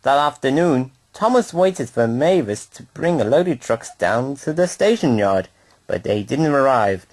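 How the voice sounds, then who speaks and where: A man's voice: mid-range voice, lively intonation, unhurried, darkish tone, no echo. A man reading a scripted children's story aloud as narrator.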